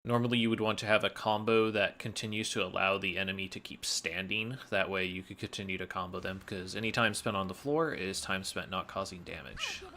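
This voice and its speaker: A man's voice: sits low, fairly quick, few pauses, pushed and bright. A man giving explanatory gameplay commentary as a speedrunner, analytical and matter-of-fact.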